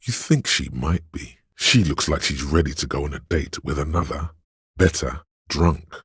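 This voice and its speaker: A man voicing the hedonistic inner voice of addiction in a video game, a sleazy tempter in the brain with a gravelly, husky, goading drawl. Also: very deep voice, normal speed, a bit bright, dry recording, clean studio sound, heavily acted. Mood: sleazy, coaxing.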